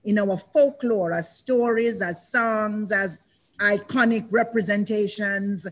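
An older woman leads a panel discussion over a video call. Her voice sits at a middle pitch, and she speaks in a reflective, measured way, pausing and restarting as she shapes each thought. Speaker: panel moderator. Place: indoors, in front of a banner.

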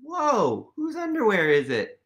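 Very animated man reading a picture book aloud. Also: high voice, unhurried. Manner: playful, exaggerated wonder, asks listeners questions. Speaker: storytime reader. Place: small room at home, indoors.

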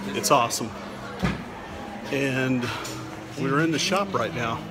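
Laid-back man vlogging over loud music. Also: medium pitch, animated, slow pace, low-quality recording. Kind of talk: casual vlog commentary. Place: busy theme park, streets and dim shops. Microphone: handheld camera at arm's length.

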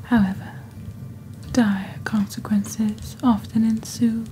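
A young woman speaking softly and breathily in a scripted ASMR roleplay, slow, deliberate and eerily formal, as the host of a shopping channel. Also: on the high side, steady tone, soft background music. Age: young adult. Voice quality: soft, breathy, whispery. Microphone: close studio microphone on desk.

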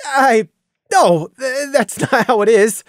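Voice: mid-range voice, lively intonation, slow pace, no room sound, clean studio sound, pitched above the performer's own voice. A storyteller voicing a shy young pony stallion who stammers and protests when teased. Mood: flustered.

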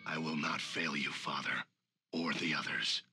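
A man's voice: very deep voice, fairly even intonation, a little slow. A man playing a resolute young tiger kung fu hero in a video game, with earnest, declamatory delivery. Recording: loud music over the voice.

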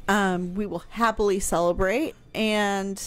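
A woman's voice: mid-range voice, fairly even intonation, unhurried. A middle-aged American woman hosting a casual radio show, hesitant and dryly sarcastic, close on a studio microphone. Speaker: radio show host explaining history. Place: home room, indoors. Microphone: close boom studio microphone with headphones.

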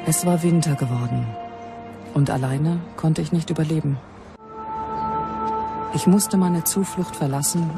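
Grave Germanic priestess, over music. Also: female voice, low-pitched, gravelly. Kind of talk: dramatised first-person documentary narration. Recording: music behind the voice.

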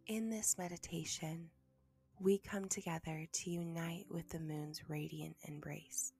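A woman with a soft, breathy, slightly low voice guides a meditation, often slipping close to a whisper. She speaks very slowly and soothingly, leaving long pauses, with a creaky edge to her words. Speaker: meditation guide and podcast host.

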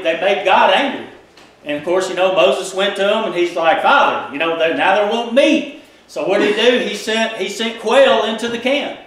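Pastor guy preaching, fairly high voice, lots of church echo, folksy and animated. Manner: folksy, animated, gesturing widely while preaching. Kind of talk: sermon. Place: small church sanctuary. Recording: echoey church room.

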